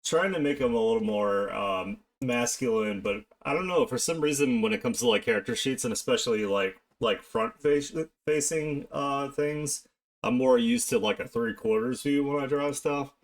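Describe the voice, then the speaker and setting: A man chatting casually on an art live stream, talking haltingly and half to himself as he works. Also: mid-range voice. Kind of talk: casual live-stream chat while drawing.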